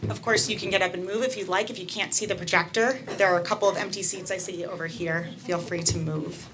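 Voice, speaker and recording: A woman rabbi briskly making announcements and directing people before introducing a guest speaker. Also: fairly low pitch, fairly even intonation, background noise. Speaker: rabbi hosting the service. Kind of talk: announcements to a congregation. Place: synagogue sanctuary. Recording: congregation murmuring behind.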